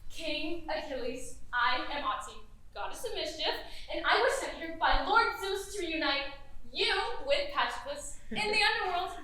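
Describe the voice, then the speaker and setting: A young woman plays an eager, unsure goddess of mischief on a comedy stage. Her voice is bright and very high, with nervous, halting earnestness. It is picked up from far off in a very echoey theater. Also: animated. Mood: nervous, hesitant. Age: young adult. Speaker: student stage actor. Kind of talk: live stage comedy acting. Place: theater stage, recorded from afar. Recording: roomy theater, distant mic, faint noise.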